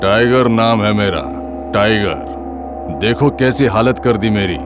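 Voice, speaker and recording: A man playing a battered biscuit carton with a slow, self-important manner in a training film. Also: fairly low pitch, pitched below the performer's own voice. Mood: wounded pride. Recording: music behind the voice.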